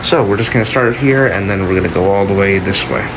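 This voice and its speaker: A man giving a casual, unscripted show-and-tell as a video host, speaking in a plain, offhand, halting way. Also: sits low. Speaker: video host showing a collection. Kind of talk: casual unscripted product showcase. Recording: loud steady ambient hum and hiss.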